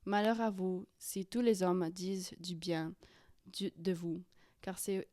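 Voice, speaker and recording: A young woman whose first tongue is guides a prayer and reads Scripture aloud, pausing often and slipping into whispers. Her voice is mellow and warm, and she takes her time. The sound is dry and close, with no room echo. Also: lowish voice, fairly even intonation. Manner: hesitant, many fillers and restarts, often drops to a whisper. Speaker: YouTuber leading prayer. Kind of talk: casual guided prayer and Bible reading.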